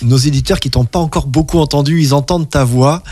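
A man's voice: a little high, fast talker, darkish tone, no room sound, faint music behind. A man hosting a radio interview show, friendly and encouraging toward his guest. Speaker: radio host.

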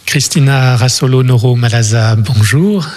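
A man working as a journalist interviewer, asking gentle, probing questions. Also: mid-range voice, unhurried. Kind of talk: radio interview.

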